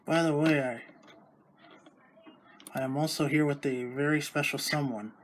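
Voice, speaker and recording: A man's voice: fairly high pitch, fairly even intonation, a little hiss or hum. Casual young-sounding male vlog host. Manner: loose, halting, with restarts. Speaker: YouTube host recording radio tests. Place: at home.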